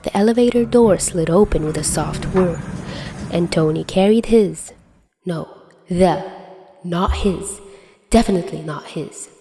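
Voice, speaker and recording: A woman's voice: fairly low pitch, unhurried, warm tone, faint music behind. A woman reading fan fiction aloud, telling the story expressively into a close home mic. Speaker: podfic reader.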